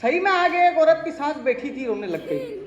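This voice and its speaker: Hindi poet-comic live on stage, teasing audience, roomy hall sound. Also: male voice, very high voice, big pitch swings, normal speed, muffled recording. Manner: teasing, addresses audience directly. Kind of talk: live stand-up comic poetry. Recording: echoey live venue.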